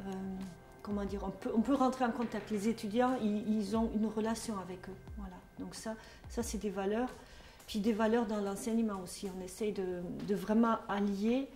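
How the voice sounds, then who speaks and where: A middle-aged woman with a German accent talks in a hesitant, searching way, full of pauses and restarts. Her voice is soft and mellow. The room is echoey, with faint music underneath. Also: mid-range voice, fairly even intonation. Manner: hesitant, many fillers and restarts. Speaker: trainer at a social work school. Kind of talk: testimonial interview.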